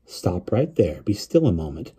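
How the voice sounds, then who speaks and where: Man reading a firm, anxious mother, mellow-voiced. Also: sits low, big pitch swings, moderate pace, slightly rough, heavily acted. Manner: firm, urgent, imploring. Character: anxious, firm mother, adult woman, urging her son.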